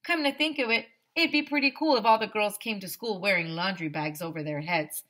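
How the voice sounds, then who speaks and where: Woman, bright clear-cut voice, teacher read-aloud, expressive, playful, speaking straight to kids. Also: medium pitch, fairly quick. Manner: expressive, playful, addresses young listeners directly. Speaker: teacher reading aloud to pupils. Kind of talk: animated children's read-aloud.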